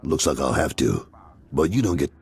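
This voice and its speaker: Video game crime underboss: bottom-register, heavily rasping, gruff, weary, blunt and wry. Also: male voice, extremely low pitch, expressive, normal speed, exaggerated voice. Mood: resigned. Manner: blunt, dryly sardonic. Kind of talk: video game character acting.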